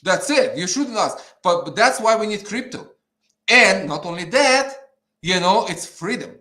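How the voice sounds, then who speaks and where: Fired-up Eastern European man, animated pitch. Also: measured pace. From Ukraine, former Soviet Union. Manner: blunt, swearing, fired-up, repeats himself. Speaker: guest, petition campaigner. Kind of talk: impassioned conversational rant. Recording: roomy, echoing sound.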